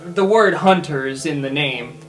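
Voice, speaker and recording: A man playing a laconic, guarded mercenary assassin in a tabletop game, with a deadpan manner. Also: a little high, a little slow, soft background music, natural voice, low-quality recording. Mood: defensive, matter-of-fact. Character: laconic mercenary assassin who enjoys killing.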